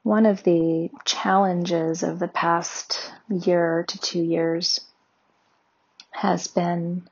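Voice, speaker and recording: A woman leading a guided meditation with slow, soothing, gentle instructions. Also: lowish voice, level tone, breathy edge, highs cut off.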